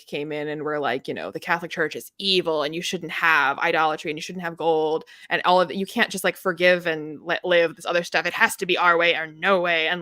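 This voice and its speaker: Low-pitched woman chatting about books. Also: pushed and bright, no echo, studio quality. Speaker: co-host of a book readalong live chat. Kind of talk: casual book discussion.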